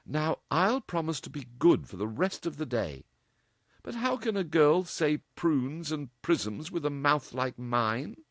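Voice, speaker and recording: A man puts on a mischievous young woman's voice, pitched well above his own and bouncing with cheek. She is impudent and playful, delivered in a dry, close recording. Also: sing-song, a little slow, fairly bright tone, dry recording, full character voice.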